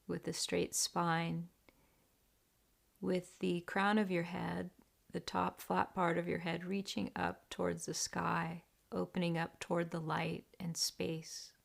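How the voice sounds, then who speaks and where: A woman leading a guided meditation in a deliberate, gentle, hushed manner with long pauses. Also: on the low side, vocal fry. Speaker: meditation teacher.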